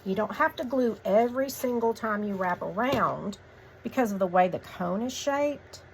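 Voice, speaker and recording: Slightly croaky woman teaching a craft. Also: mid-range voice, moderate pace, a little hiss or hum, rough recording. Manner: relaxed, chatty instructions with fillers. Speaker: craft tutorial host. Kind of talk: step-by-step craft demonstration.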